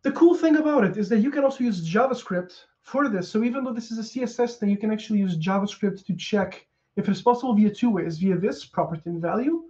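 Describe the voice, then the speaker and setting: A Portuguese man in his thirties gives a relaxed technical talk from a small room at home, speaking into a headset and filling gaps with ums and uhs. His voice is mellow and warm. The sound is dull and lo-fi, like audio from a video call. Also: sits high, fast talker, hardly any pauses. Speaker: conference speaker.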